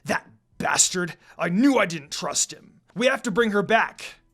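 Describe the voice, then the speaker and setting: A man's voice: sits high, lively intonation, unhurried, a bit bright, full character voice. A man performs a proud male dog demon lord from a fantasy story. He is furious and growling, speaking with menace in the manner of an anime fan-fiction villain-slayer.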